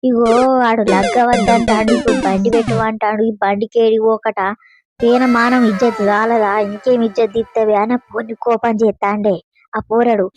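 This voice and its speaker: A woman's voice: a little high, flat delivery, normal speed, music in the background, lightly acted. A woman voicing an eager, eavesdropping village wife in a cartoon, insistent and grumbling, with an earthy Telangana accent. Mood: eager, curious. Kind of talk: comic village gossip dialogue in a cartoon.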